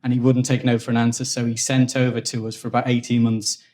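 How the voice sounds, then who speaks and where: A man in his forties from Liverpool with a Liverpool accent, a guest telling stories in a relaxed interview and often repeating words while he thinks. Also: medium pitch, fast talker. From Liverpool, England. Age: 40s. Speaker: guest, engineering executive. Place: office at company headquarters.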